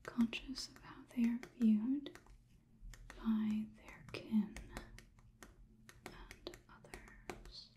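A young woman plays a robot overlord in an ASMR roleplay, polite and clinically curious about humans. The voice is a soft, dark-toned whisper, close to the mic. Phrases come slowly and deliberately, in formal wording. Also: a little high. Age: young adult. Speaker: ASMR performer.

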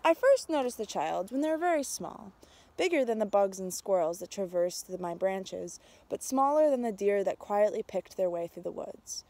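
A young adult woman reading a picture book aloud outdoors, with a measured, expressive storytelling delivery. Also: medium pitch. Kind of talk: storybook read-aloud, narrated as a tree. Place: outdoors in snowy winter woods.

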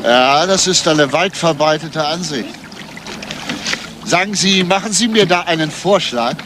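An actor playing a persistent police detective who questions insistently in a German crime radio play. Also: male voice, a little high, animated, unhurried, noisy background. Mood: indignant, insistent.

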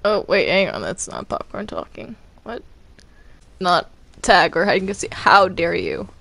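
A woman's voice: lowish voice, sing-song, measured pace, gravelly, fairly bright tone, no room sound. A young woman playing a character in a game roleplay, talking casually and stammering when flustered. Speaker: roleplay player.

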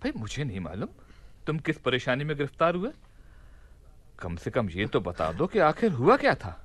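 A hearty, insistent merchant in a radio drama. His mid-pitched voice swoops dramatically, familiar in manner and dryly recorded. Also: huge pitch swings, normal speed, no echo. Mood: hearty, curious. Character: genial, insistent travelling merchant from Mosul. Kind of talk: theatrical radio play acting.

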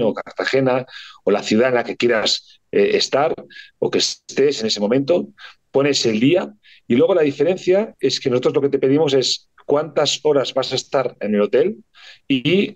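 A man's voice: mid-range voice, dull audio. A man from Barcelona with a Spanish accent, a company founder answering interview questions fluently but with frequent hesitations and repeated words. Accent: Spanish from Spain. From Spain, Barcelona. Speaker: startup founder and CEO, guest.

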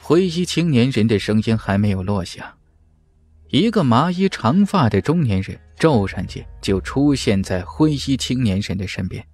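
Animated male audiobook narrator. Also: medium pitch. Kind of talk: dramatic scripted fantasy narration. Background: background music.

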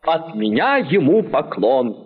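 A courteous young fairy-tale prince, declaiming verse slowly and with wide swings of pitch. The old cartoon soundtrack is muffled and rings with long reverb. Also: male voice, sing-song, slightly rough, exaggerated voice. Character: young prince, courteous, melancholy, longing for his father. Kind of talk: 1940s cartoon verse acting.

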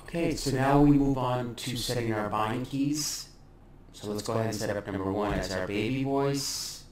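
A middle-aged man narrating a software tutorial as its host. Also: mid-range voice, moderate pace. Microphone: close desktop microphone. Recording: clear background noise.

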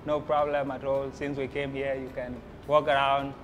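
A man's voice: mid-range voice, completely flat delivery, moderate pace, slight background noise. A young man, a student giving a testimonial. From South Sudan. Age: young adult.

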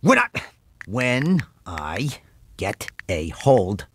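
A man voicing a surly, gullible middle-aged bartender with an explosive temper and a gravelly, blustering delivery in an animated sitcom. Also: on the low side, very slow, slight background noise, heavily acted. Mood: flustered, angry. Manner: blustering, threatening.

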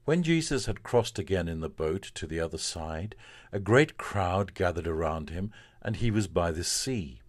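A man, a priest, reading from the Bible in a solemn, measured and deliberate way. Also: low-pitched, lively intonation, dry recording, studio quality.

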